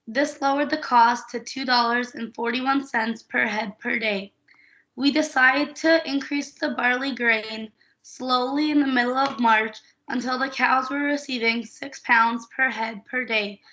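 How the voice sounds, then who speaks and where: A young woman from Saskatchewan gives a prepared student presentation, reading figures steadily and carefully. Her voice is bright and fairly high. The recording sounds dull and lo-fi. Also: steady tone, measured pace. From Saskatchewan, Canada. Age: young adult. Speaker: student nutrition coordinator.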